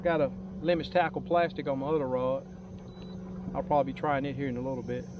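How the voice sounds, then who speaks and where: A middle-aged man giving casual, unhurried, rambling fishing commentary in a folksy way, outdoors on a boat. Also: medium pitch, steady tone, muffled recording, background noise. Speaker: angler hosting a fishing vlog. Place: open boat on a lake, outdoors.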